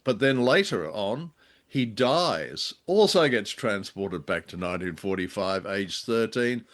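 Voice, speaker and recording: A man co-hosting a podcast, giving critique in a halting, deliberate way. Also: fairly low pitch, animated. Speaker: podcast co-host. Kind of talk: casual discussion and critique.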